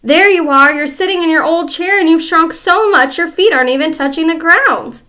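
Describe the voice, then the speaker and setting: A woman's voice: sits high, animated, a little slow, a bit bright, exaggerated voice. Woman doing a nasty gloating old husband. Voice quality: jeering, taunting. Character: nasty gloating old man tormenting his wife.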